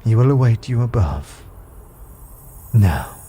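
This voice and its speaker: An actor playing an imperious, menacing and cunning sorcerer in a fantasy audio drama, with haughty theatrical delivery. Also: male voice, medium pitch, big pitch swings, slow pace, warm tone, a little hiss or hum. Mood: knowing, ominous. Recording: music behind the voice.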